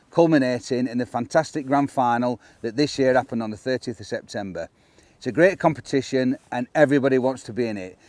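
A man's voice: medium pitch, a little mellow, dry recording. A man in his fifties with an English accent, presenting a fishing match to camera outdoors. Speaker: angler and presenter. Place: outdoors on an open reservoir bank.

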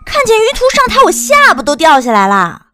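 A woman playing a young wife who is a bubbly, nosy, excitable best friend in an audiobook drama. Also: very high-pitched, brisk, faint music behind, full character voice. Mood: excited, gushing. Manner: excitable, teasing, wheedling, exclamatory.

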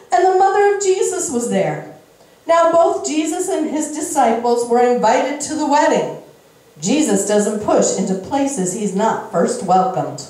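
Middle-aged lady preacher on a handheld mic, high voice, emphatic bible teaching, super echoey church. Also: warm tone. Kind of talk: sermon, Bible reading with interjected explanation. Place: indoor church stage. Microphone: handheld microphone at a lectern. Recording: very echoey room, slightly distant sound.

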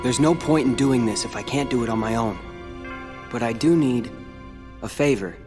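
An actor playing a calm, determined teenage boy hero in a video game, with a dry, brooding way of talking. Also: medium pitch, normal speed, close to an everyday voice. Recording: game audio with reverb and loud music.

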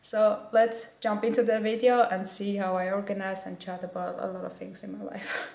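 A woman's voice: medium pitch, level tone, moderate pace, vocal fry, darkish tone. Chatty young woman cleaning her apartment. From Armenia. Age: mid-20s. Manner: chatty, a little nervous, reflective. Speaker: vlogger. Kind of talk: casual chat to camera while cleaning. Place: small apartment indoors. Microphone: camera on a stand, some lines away from it.

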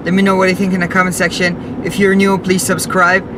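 A young man with a slightly high voice talks casually, explaining each step as he drives. He's recorded from a dashboard camera inside a car, with clear road and engine noise behind him. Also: monotone, a little fast. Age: young adult. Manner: chatty, explaining step by step while driving. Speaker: car channel host. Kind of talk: casual vlog narration. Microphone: camera mounted on the dashboard.

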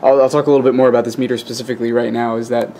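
Flat-toned man explaining casually, somewhat hesitant. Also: mid-range voice, very fast, slight background noise. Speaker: video host, radio hobbyist. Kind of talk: casual unscripted demonstration, explaining. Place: indoors at a wooden workbench.

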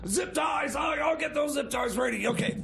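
A man playing an excitable, rambling time machine mechanic in improvised comedy radio banter, halting and repeating himself. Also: very high voice, creaky, shouting, slight background noise, heavily acted. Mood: scheming, rambling. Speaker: radio show co-host.

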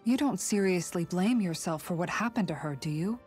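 A woman's voice: lowish voice, animated, moderate pace, a little mellow, faint music behind, lightly acted. An actress playing a firm, blunt yet compassionate young warrior woman, earnest and direct, in a dramatic video game. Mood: concerned.

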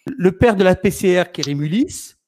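A man's voice: high-pitched, animated, normal speed, a little mellow. Insistent man hosting a live stream. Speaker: livestream host. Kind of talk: casual chat on a live stream.

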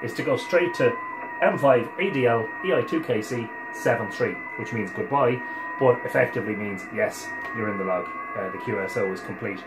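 A man in his forties with an Irish accent casually explains a demonstration, thinking aloud as he works in a relaxed way. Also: medium pitch, moderate pace, roomy sound, over loud music, low-quality recording. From Ireland. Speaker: amateur radio operator presenting. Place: small home radio room, indoors. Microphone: camera a couple of metres away.

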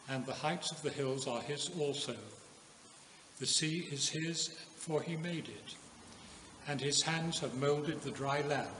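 Elderly English man, solemn lectern reader, unhurried and deliberate, mid-pitched, in a reverberant church. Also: fairly bright tone. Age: 70s. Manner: measured, deliberate phrasing. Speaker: scripture reader at a church service. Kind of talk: solemn scripture reading. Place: inside a stone village church. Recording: echoey stone church, audible room noise.

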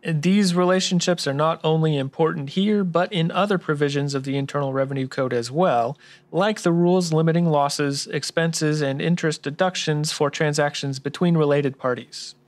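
A man's voice: on the high side, normal speed, lightly acted. A man playing an earnest, polite tax expert in a scripted noir radio drama, speaking precisely and courteously. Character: earnest by-the-book male tax expert, polite detective type.

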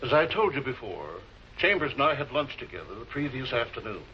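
A man's voice: medium pitch, big pitch swings, brisk, close to an everyday voice. Muffled vintage murder suspect, formal and guarded, acted by a man. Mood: defensive. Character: wry, defensive male novelist suspected of murder. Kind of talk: 1940s television crime drama acting. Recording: muffled, noisy old film soundtrack.

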